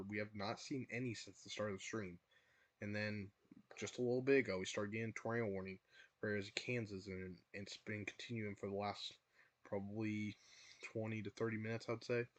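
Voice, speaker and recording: A man's voice: on the low side, no room sound. A young man, hosting a live weather stream from his room, losing his voice and repeatedly urging viewers to take shelter. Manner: repetitive, filler-heavy, urges viewers to take shelter. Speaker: livestream weather host.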